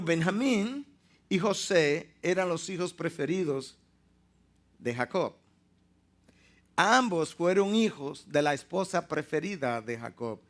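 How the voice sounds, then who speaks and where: A man preaching a sermon, teaching emphatically and slowing down to stress key words. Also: a little high.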